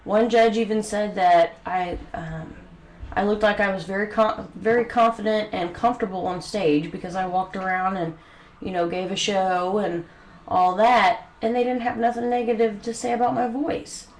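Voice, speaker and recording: A woman's voice: medium pitch, fairly even intonation, normal speed, a little mellow. A young woman with a head cold, sniffling, talking earnestly to camera in a home video with many hesitant ums. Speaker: vlogger. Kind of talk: personal talk to camera. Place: bedroom home studio. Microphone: studio mic. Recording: echoey room, faint background noise.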